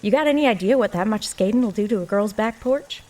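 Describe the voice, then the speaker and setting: A woman's voice: medium pitch, animated, quick pace, darkish tone, natural voice. A woman playing a nosy, irreverent working-class maid with folksy rural American diction in an audio drama. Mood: gossipy, teasing.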